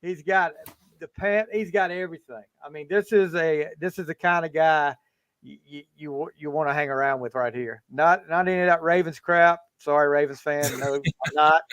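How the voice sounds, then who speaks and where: A man's voice: on the high side, fairly even intonation, normal speed, fairly bright tone, clean tone, no room sound. A fifty-year-old man hosting a casual sports podcast over a video call, talking in a rambling way with lots of restarts. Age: 50s. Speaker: podcast host. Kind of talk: casual chat.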